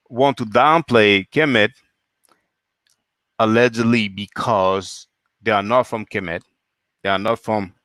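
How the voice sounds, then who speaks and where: A man's voice: on the low side, fairly bright tone, no room sound. A man from France hosting a solo live stream, reading viewer comments and asking pointed rhetorical questions.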